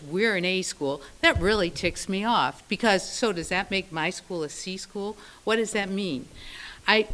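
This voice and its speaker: A woman's voice: mid-range voice, a bit bright, a little hiss or hum, rough recording. A woman giving public comment at a board meeting, passionate and full of rhetorical questions.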